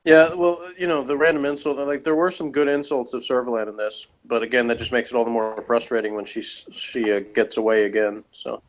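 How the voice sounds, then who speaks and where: A man's voice: medium pitch, fairly even intonation, fairly quick, few pauses. A man giving casual, conversational commentary as co-commentator on a review show. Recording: dull lo-fi online call audio.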